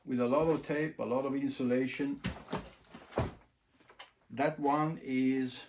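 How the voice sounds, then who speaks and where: A man giving a casual, unscripted demonstration, thinking aloud in short, halting phrases. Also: mid-range voice. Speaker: record collector presenting a demonstration. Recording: clear background noise, clicks.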